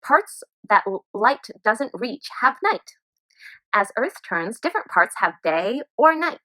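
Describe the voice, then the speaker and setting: A woman teacher reading a children's nonfiction book aloud in an expressive storytime manner. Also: fairly high pitch, a little slow, dry recording, poor audio.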